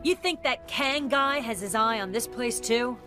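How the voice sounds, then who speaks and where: A woman playing a confident, blunt, down-to-earth superheroine in an animated game. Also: on the high side, a little slow, over music, natural voice. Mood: startled, curious. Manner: blunt, casual.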